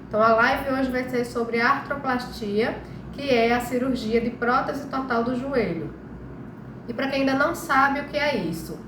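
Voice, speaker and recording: A Brazilian woman with a slightly nasal, congested voice from a cold, explaining things informally as host of a health live stream and often checking that listeners follow. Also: fairly high pitch. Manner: conversational, frequent check-in tags. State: congested, recovering from flu. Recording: echoey room with clear background noise.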